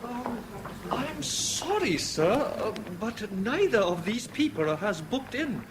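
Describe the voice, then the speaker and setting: Casting: courteous hotel clerk, very high voice, apologetic, lilting, for radio drama with noisy ambience. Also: male voice, sing-song, takes it slowly, exaggerated voice. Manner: hesitant, formally courteous. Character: polite, apologetic hotel receptionist. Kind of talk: 1970s British radio drama dialogue. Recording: noisy lobby ambience behind.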